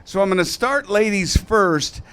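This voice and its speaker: A man's voice: high voice, expressive, unhurried, fairly bright tone, smooth. A man moderating a conference panel, upbeat and informal as he sets up the discussion. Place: conference hall stage.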